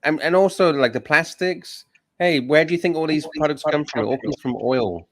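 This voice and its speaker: A man hosting a livestream, reading super chats aloud and chatting casually, animated and swearing. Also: a little high, normal speed, a little hiss or hum. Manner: animated, swears, mocks and laughs. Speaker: livestream host.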